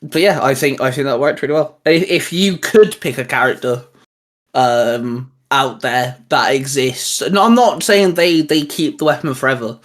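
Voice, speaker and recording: Rambling man, lively filler-heavy chat. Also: mid-range voice, moderate pace. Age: young adult. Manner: rambling, many fillers and restarts. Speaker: channel co-host. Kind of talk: casual geeky chat.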